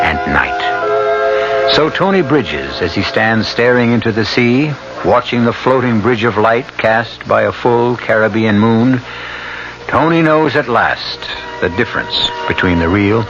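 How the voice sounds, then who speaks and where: Slow, low-voiced male mystery host. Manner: measured, dramatic, literary. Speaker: host and narrator. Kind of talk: theatrical scripted radio mystery narration. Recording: muffled old radio broadcast, music behind.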